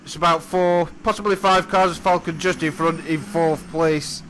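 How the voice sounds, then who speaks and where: Hoarse guy calling a sim race, bright tense voice, chatty and apologetic, faint engine noise behind. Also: fairly high pitch, steady tone, moderate pace. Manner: chatty, apologetic, self-correcting. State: hoarse, losing his voice. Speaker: sim racing commentator and driver. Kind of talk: race commentary over a replay.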